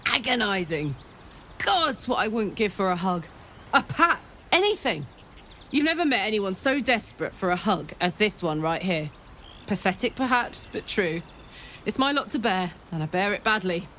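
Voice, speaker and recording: A woman's voice: medium pitch, sing-song, normal speed, sharp tone, slight background noise. A woman voicing a fiery, candid and wistful warrior in a video game, with an earthy, wry way of talking. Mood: yearning. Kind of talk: video game character dialogue.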